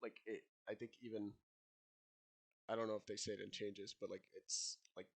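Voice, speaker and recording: A man's voice: fairly low pitch, little pitch movement, moderate pace, creaky. A young man co-hosting a casual book podcast, talking in a rambling way with lots of fillers and frequent laughs. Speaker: podcast co-host.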